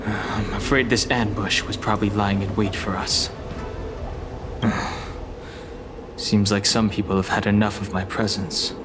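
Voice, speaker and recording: A serious young male warrior, voiced by a man, with a fairly low, composed voice. He speaks formally and guardedly, as in a fantasy game, with music playing clearly behind him. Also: level tone, lightly acted. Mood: wary, calm. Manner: composed, measured, formal phrasing. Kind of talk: video game voice acting.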